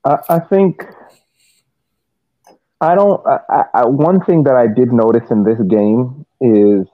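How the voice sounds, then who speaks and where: A man's voice: mid-range voice, a little slow, dry recording, phone-quality sound. A man giving analytical sports commentary as a podcast panelist, explaining at length and repeating words as he goes.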